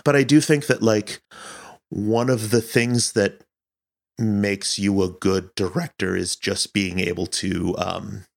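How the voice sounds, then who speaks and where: A man's voice: lowish voice, steady tone, takes it slowly, vocal fry. A man answering interview questions as an audio director, speaking earnestly and thoughtfully, often searching for his words.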